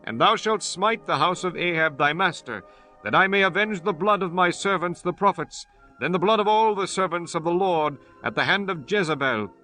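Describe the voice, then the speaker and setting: A man voicing a fierce young prophet in a dramatized scripture reading, loudly declaiming divine judgment. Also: high voice, lively intonation, moderate pace, pitched above the performer's own voice. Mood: fierce, solemn. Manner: loud, declamatory. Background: music.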